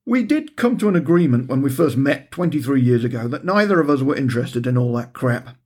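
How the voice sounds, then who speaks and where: Middle-aged man, husky voice, dry wry audiobook narration, understated humour, author reading his own story. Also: mid-range voice, expressive, a little fast, a little mellow. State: recovering from a heavy cold.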